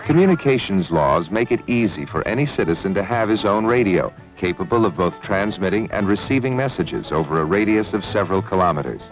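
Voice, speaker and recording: Deep-voiced documentary narrator, a man. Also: animated, poor audio. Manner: measured, formal delivery. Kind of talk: scripted newsreel-style narration. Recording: 1970s film soundtrack with music. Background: background music.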